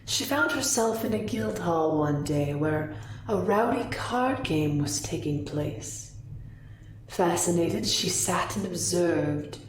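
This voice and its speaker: Sly, sultry kitsune sorceress played by a woman, lowish female pitch, measured roleplay backstory narration, filtered and echoing. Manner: slow, measured storytelling. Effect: voice filter with echo. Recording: echoey, distant, faintly noisy.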